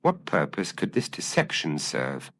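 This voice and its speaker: A man's voice: rumbling low, wildly swooping pitch, unhurried, a bit bright, heavily acted. A man voicing a detective's loyal, puzzled male companion, earnest and questioning, in an audio mystery story. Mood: bewildered, questioning.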